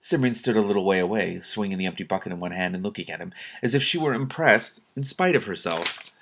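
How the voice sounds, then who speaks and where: A man's voice: sits low, animated, fast, a bit bright. A man reading a fantasy novel aloud as host, expressively, with casual off-the-cuff asides.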